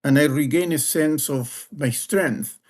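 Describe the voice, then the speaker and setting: A man from Argentina with an Argentine Spanish accent, a guest telling his life story slowly and with pauses in an interview. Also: fairly high pitch, a little mellow. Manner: slow, measured storytelling with pauses. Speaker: guest, leadership advisor.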